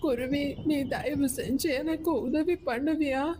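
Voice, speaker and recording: A woman plays an elderly mother-of-all grandmother sparrow from a cartoon fable. The voice is high and very unhurried, with a frail, plaintive affection. Clear noise sits behind it. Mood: tearful pleading.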